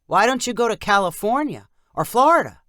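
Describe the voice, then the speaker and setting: A woman's voice: on the high side, big pitch swings, fairly quick, a bit bright, dry recording, clean studio sound, voice pushed up. A woman voicing a thrifty, opinionated middle-aged uncle in a storybook, giving emphatic advice. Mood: persuasive. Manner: emphatic, advising.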